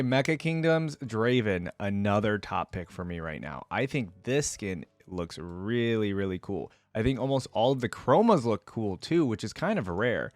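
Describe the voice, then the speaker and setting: A man's voice: medium pitch, dry recording. A man giving casual, off-the-cuff reaction commentary as a video host, thinking aloud in an informal, wryly bemused way.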